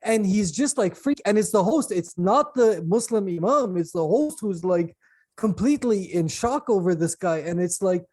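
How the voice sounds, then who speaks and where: Animated joking podcast co-host man. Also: sits high, normal speed. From United States. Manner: animated storyteller, jokey. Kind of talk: casual chat.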